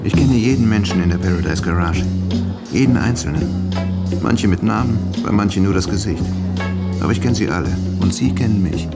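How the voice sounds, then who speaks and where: An actor playing a self-assured star club DJ in a radio drama monologue. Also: male voice, fairly low pitch, brisk, creaky. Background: loud guitar music.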